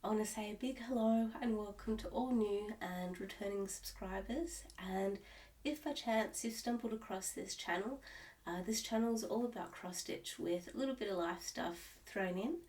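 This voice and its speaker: A stuffed-up, nasal Australian woman chatting to camera at home about her hobby. She rambles and restarts her sentences often, and her voice sits at an ordinary pitch. She sounds a little distant from the microphone. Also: normal speed, a little mellow. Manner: rambling, with many restarts and fillers. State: nasal and stuffed up from a cold-like illness. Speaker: cross-stitch vlogger. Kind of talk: casual chat to camera. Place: at home, indoors.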